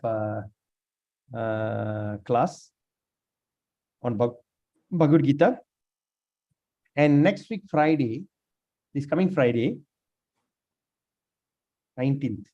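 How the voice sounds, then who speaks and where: A man leading a scripture class, speaking haltingly with many fillers and restarts while he has lost his voice. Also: medium pitch, mellow. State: lost his voice, sprained neck. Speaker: class leader. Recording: dull lo-fi room recording.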